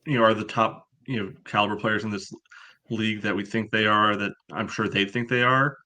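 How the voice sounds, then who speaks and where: A man's voice: fairly low pitch, fairly even intonation, fairly quick, bright, forceful tone. A man giving blunt, matter-of-fact opinions as a panelist on a casual sports podcast.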